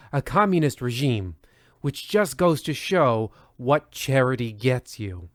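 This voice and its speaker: A man's voice: mid-range voice, no echo, lightly acted. Man as sardonic horror host, close and dry. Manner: theatrical, sneering. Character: sardonic, macabre male horror-show host. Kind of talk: old radio horror introduction parody.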